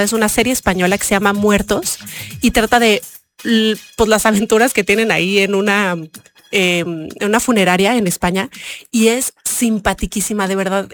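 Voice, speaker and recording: Chatty woman radio co-host. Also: mid-range voice. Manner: chatty, enthusiastic, repeats words while searching. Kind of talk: casual radio chat, recommending series.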